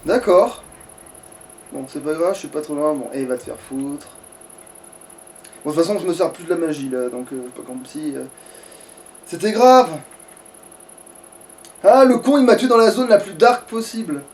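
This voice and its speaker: A man's voice: a little high, normal speed, long pauses, a little hiss or hum. A man giving casual, sweary, grumbling commentary while playing a video game with a friend. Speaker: let's player, at the controls. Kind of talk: casual gameplay commentary with a co-player.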